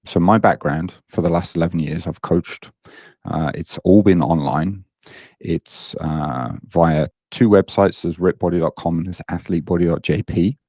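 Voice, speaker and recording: A British man with a deep, mellow voice talks in an easy, self-deprecating way and often stops on "um" and "uh". The sound is close and dry, with no room echo. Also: steady tone, measured pace. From Britain. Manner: self-deprecating, many ums and uhs. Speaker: online fitness coach, guest. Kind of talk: casual podcast interview.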